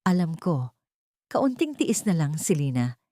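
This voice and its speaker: A woman's voice: low-pitched, very animated, a little slow, warm tone, no room sound, clean studio sound. A woman voicing a deceitful husband, secretive and reassuring, in an audio drama. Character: deceitful husband plotting with his mistress. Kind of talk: audio drama character dialogue.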